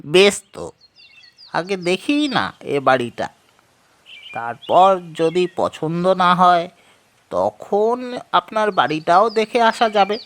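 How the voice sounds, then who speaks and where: অভিনেতার কণ্ঠে চড়া গলার উৎসাহী, জেদি যুবক. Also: male voice, sits high, lively intonation, slow, long pauses, a bit bright, voice pushed up, full character voice. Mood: eager, insistent. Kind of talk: dramatised horror audio story.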